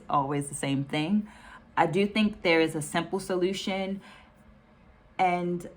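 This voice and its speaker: Female educator-host, low register, thoughtful direct-to-viewer talk, deliberate phrasing with repetition for emphasis. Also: fairly bright tone. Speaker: educator and video host presenting book takeaways. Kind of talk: reflective talk to camera for an online video.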